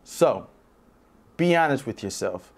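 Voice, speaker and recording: A man at an ordinary mid pitch talks straight to the viewer as he closes his read-aloud show. His tone is chatty and encouraging as he speaks to parents and thanks his audience. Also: lively intonation, slow pace, creaky, soft background music. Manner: warm, encouraging, direct address to parents. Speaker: children's read-aloud host. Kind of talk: friendly sign-off to viewers.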